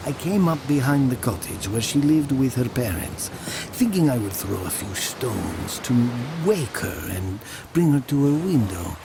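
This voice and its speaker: An actor playing a brooding, jealous young man, narrating his past in a slow, hushed audio drama style. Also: mid-range voice, very animated, vocal fry. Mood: brooding, haunted. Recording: music behind the voice.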